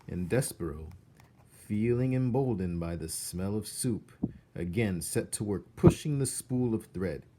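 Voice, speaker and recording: A man's voice: lowish voice, expressive, measured pace, dark tone, slight background noise. A man reading a children's book aloud as narrator, expressively, with dramatic emphasis on key words.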